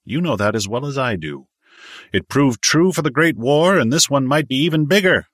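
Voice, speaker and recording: A man voicing a brash, domineering wealthy businessman in an audiobook, forceful and cocksure. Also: mid-range voice, expressive, moderate pace, no room sound, studio quality, pitched above the performer's own voice. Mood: combative, confident.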